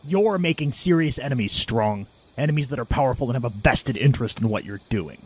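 Male actor as cold, threatening henchman; animated pitch, deliberate delivery, audio drama, faintly noisy setting. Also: natural voice. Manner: deliberate, intimidating. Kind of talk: audio drama villain acting.

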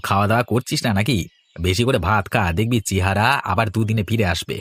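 A man's voice: on the low side, steady tone, fast talker, a bit bright, slightly rough, no room sound. Man voicing a bossy, kindly village elder. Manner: lecturing, reassuring. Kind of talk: cartoon voice acting.

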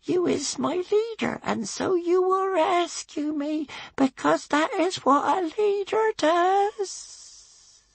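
A man voicing a small, sick, loyal sprite in a squeaky, shaky voice, halting and childlike, for a storybook. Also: expressive, gravelly, dry recording, full character voice. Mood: adoring, weak.

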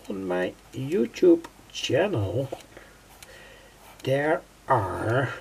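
An older man giving an unscripted hands-on tutorial in a halting, deliberate way, often repeating his phrases. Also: medium pitch. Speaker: electronics hobbyist presenter. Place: indoors at a home workbench. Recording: clearly noisy home recording.

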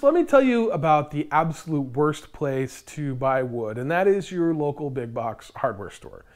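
An American man in his thirties presenting explanatory DIY tips to camera, recorded indoors on a lapel mic. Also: on the high side. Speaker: host of a DIY woodworking channel. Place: indoor workshop in front of lumber racks.

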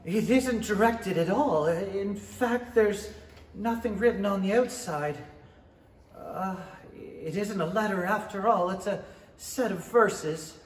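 A man's voice: sits high, takes it slowly. A middle-aged man playing a nervous, deferential rabbit herald in a 1930s-style radio play, hesitant and stammering. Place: indoor stage in a small theatre. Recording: roomy, echoing stage pickup.

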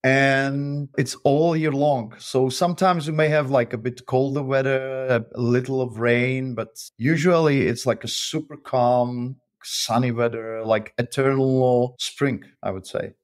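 A man's voice: medium pitch, steady tone, unhurried, hardly any pauses. A man from the Republic speaking English, talkative and friendly as a guest on an interview podcast. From Czech Republic. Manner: friendly, talkative, with frequent uh fillers and restarts. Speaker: guest, author and freelancer. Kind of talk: interview conversation.

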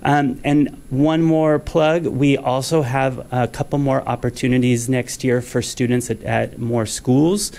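A man's voice: mid-range voice, steady tone, unhurried, creaky, hardly any pauses, darkish tone. A man in his forties, a school district director, reading a resolution at a board meeting, formal with frequent ums, at a podium microphone in a meeting room.

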